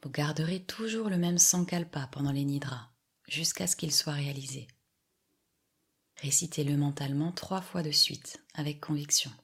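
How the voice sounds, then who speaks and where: A woman guiding a yoga meditation with soft, soothing scripted instructions and long pauses. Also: deep voice, breathy edge. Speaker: yoga nidra relaxation guide.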